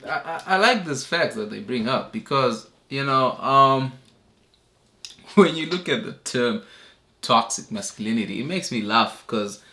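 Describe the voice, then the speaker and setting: A man hosting a reaction video, talking in a relaxed, chuckling, conversational way. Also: mid-range voice. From Zimbabwe. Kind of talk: casual commentary.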